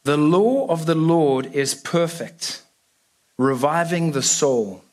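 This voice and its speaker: A man's voice: on the high side, slow pace, darkish tone. A young South African man giving a casual, joking sermon to a church congregation. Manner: casual, self-deprecating, chatty with fillers. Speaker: guest preacher.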